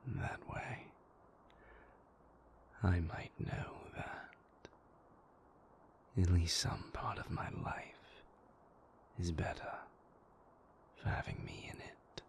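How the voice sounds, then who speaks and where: A very low, gravelly male vampire, acted by a man, murmuring close in half-whispers for an intimate audio roleplay. He is brooding and dour yet tender, and unfolds his formal phrases very slowly. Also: full character voice.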